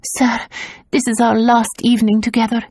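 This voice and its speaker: A woman reading an audiobook voices an anxious, quick-witted young noblewoman who pleads breathlessly. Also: a little high, completely flat delivery, darkish tone, dry recording, voice pushed up, natural voice. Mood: pleading.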